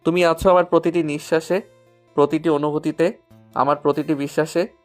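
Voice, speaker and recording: A man's voice: a little high, little pitch movement, a little fast, soft background music, natural voice. Actor as intense wounded young male lover. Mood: tender, devoted. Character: angry betrayed young male lover, proud but softening. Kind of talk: romantic audio story acting.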